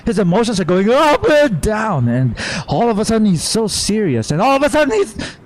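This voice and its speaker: Playful male streamer, slightly high voice. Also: very animated, normal speed. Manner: playful, chatty, talks to chat. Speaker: game streamer. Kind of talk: casual live reaction commentary.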